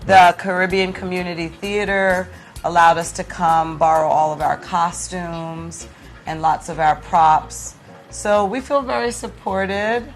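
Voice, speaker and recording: A woman's voice: on the low side, steady tone, measured pace, soft background music. A woman talking conversationally as a guest in an interview. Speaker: guest, play producer.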